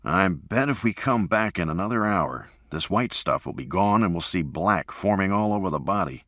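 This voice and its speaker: A man's voice: deep voice, lively intonation, normal speed, fairly bright tone, no room sound, studio quality. A man voicing a blunt male field researcher in an audiobook, pressing his theory in a plain, forthright way. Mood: insistent.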